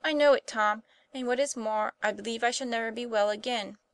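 A woman voicing a gentle, pious young boy who lies wounded, speaking earnestly as an audiobook character. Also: a little high, brisk. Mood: resigned.

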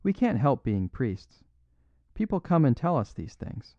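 A man voicing a humble little priest who speaks in a plain, unaffected, gently apologetic way. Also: medium pitch, sing-song, measured pace, no echo, lo-fi sound, pitched above the performer's own voice. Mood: gentle.

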